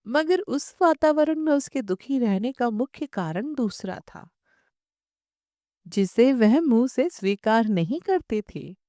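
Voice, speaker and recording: A woman reading a literary short story as an audiobook narrator, in measured, expressive storytelling. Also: fairly high pitch, no echo, studio quality.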